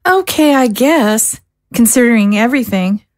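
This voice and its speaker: A woman's voice: a little high, expressive, moderate pace, dry recording, studio quality, lightly acted. A woman playing a guarded, disillusioned young woman in an audio drama, speaking in a hesitant, downbeat way. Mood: resigned. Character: guarded, disillusioned young woman, modest former militia member.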